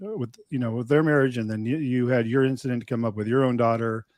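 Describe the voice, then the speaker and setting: A man's voice: mid-range voice, fairly even intonation, quick pace, darkish tone, dry recording. A man hosting a podcast, asking long rambling questions with fillers in a casual interview. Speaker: podcast host.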